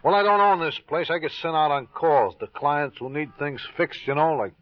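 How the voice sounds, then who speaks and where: A man's voice: fairly high pitch, animated, moderate pace, no echo, natural voice. An actor playing a chatty, wisecracking middle-aged repairman with folksy patter in an old radio crime drama. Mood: sobered, frank. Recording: dull old radio recording.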